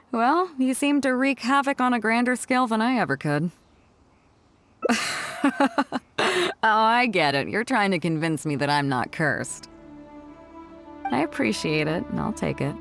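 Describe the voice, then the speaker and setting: A woman's voice: on the high side, animated, a little slow, vocal fry, pauses often, a bit bright, dry recording, exaggerated voice. A woman voicing a bold, proud female ship captain in a video game, confident and teasing, with a theatrical delivery. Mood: amused. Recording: music under the voice. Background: background music.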